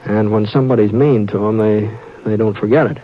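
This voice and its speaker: An actor playing a calm, steady frontier rancher who narrates, speaking in a soothing drawl in a 1950s radio drama. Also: male voice, fairly low pitch, brisk, close to an everyday voice. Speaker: narrator and lead. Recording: dull old radio broadcast.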